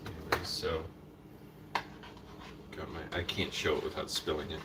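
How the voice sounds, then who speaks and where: A man's voice: a bass voice, level tone, normal speed. A man casually chatting on a live stream while preparing food in his kitchen, rambling to himself and dropping in Spanish words. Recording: distant mic with noticeable background noise.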